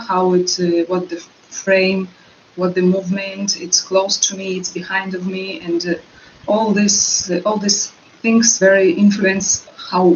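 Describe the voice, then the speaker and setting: A woman with a Russian accent, her native tongue Russian, answering interview questions hesitantly and often pausing with fillers to find words in English. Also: mid-range voice, dull audio, a little hiss or hum. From Russian-speaking. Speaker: actress guest.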